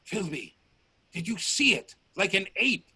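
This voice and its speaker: A man playing a bold, inquisitive gentleman explorer in a radio play, speaking in deliberate, theatrical statements. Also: high-pitched, sing-song, slightly rough, dry recording, heavily acted. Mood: grim wonder. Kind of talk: radio play dialogue acting. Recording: faint noise on video-call audio.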